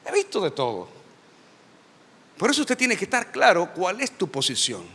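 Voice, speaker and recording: A middle-aged man preaching a lively sermon to a church, emphatic and repetitive, with touches of humor. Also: high-pitched, normal speed, breathy edge, in a large hall. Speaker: pastor.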